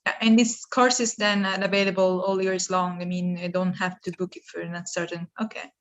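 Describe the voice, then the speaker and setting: A woman's voice: on the low side, little pitch movement, moderate pace. A woman asking polite, tentative questions on a video call. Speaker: workshop attendee.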